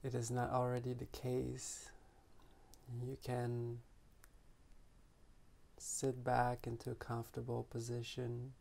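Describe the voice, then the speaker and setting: A man guides a meditation in a gravelly, creaky voice. His delivery is very slow and gentle, with long pauses between phrases. The pitch stays flat and even throughout. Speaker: meditation teacher.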